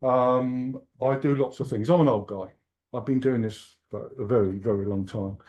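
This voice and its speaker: A man's voice: mid-range voice, moderate pace, darkish tone. An Englishman in his sixties giving a humorous, self-deprecating keynote talk at a conference. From England. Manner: joking, self-deprecating, chatty. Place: conference hall.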